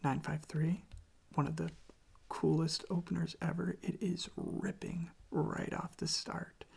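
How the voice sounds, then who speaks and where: An ASMR creator speaking very softly and casually into a close microphone, with a breathy, creaky voice and a meandering, quietly enthusiastic way of talking. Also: mid-range voice, little pitch movement, a little slow. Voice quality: whispery, breathy with creaky fry. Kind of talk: soft-spoken ASMR, rambling music talk.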